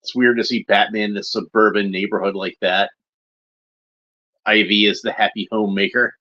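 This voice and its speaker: A man's voice: fairly low pitch, fairly even intonation, normal speed, sharp tone, highs cut off. A man hosting a watchalong with casual, rambling, unscripted commentary and short observational remarks. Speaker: host of a cartoon watchalong commentary.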